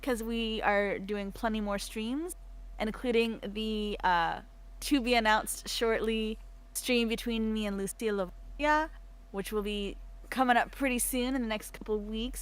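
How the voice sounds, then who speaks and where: Higher-voiced woman chatting on stream. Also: moderate pace, clean tone. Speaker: stream host. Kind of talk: casual livestream chat.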